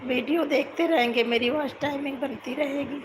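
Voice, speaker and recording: A hoarse woman with a cold, chatting casually on her live stream from home while lying down, and repeatedly asking viewers to comment. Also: high voice, normal speed, background noise. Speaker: YouTube folk singer hosting a live stream.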